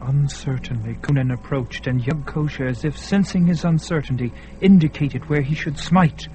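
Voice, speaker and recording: A man's voice: on the high side, normal speed, mellow, low-quality recording. A man narrating a fantasy radio drama with tense, storytelling emphasis. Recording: narration over a music bed.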